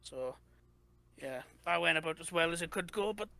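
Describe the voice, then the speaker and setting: A man's voice: on the high side, animated, normal speed, vocal fry, sharp tone, dry recording. A young man with a Welsh accent, a game developer chatting casually as an interview guest, rambling with lots of fillers and restarts. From Wales. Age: young adult. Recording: noisy background.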